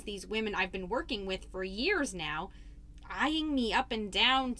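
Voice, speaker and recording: A woman's voice: medium pitch, a bit bright, close to an everyday voice. A woman playing a folksy, rambling suburban PTA mom giving an over-polite, theatrical comedy monologue as a podcast host. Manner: chatty, over-polite, self-interrupting, theatrically drawn-out phrases.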